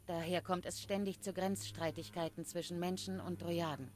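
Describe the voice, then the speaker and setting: A woman's voice: on the low side, fairly even intonation, darkish tone, close to an everyday voice. Woman playing a grave, proud forest dryad. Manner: measured, solemn, formal phrasing. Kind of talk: scripted game dialogue.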